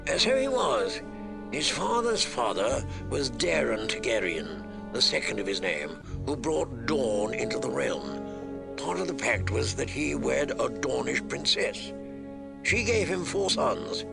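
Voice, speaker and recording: Deeply creaky and gruff, a man voices an old commander in a fantasy audiobook. He tells family history bluntly and with lively pitch, music playing behind him. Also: a little slow, full character voice. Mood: blunt, knowing. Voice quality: gravelly. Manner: animated storytelling, blunt. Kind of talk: audiobook character reading.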